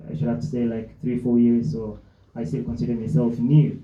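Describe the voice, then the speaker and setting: A man's voice: medium pitch, flat delivery, fairly quick, dark tone. Guy, earnest, lots of uh's, echoey room, bit muffled. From Somalia. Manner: earnest, with frequent hesitations. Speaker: panel speaker, humanitarian activist. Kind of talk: panel talk. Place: event hall with audience. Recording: echoey hall, dull lo-fi.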